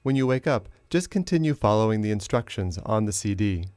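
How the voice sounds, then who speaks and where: Male meditation teacher, fairly low register, measured instructional delivery with generous pauses, very dry recording. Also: lively intonation, darkish tone, no echo. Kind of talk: guided meditation narration.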